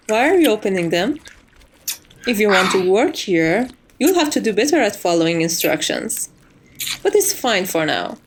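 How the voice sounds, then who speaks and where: A woman playing a polite, devoted maid to a vampire mistress in an ASMR roleplay, formal and composed with quiet menace. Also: mid-range voice, slight background noise.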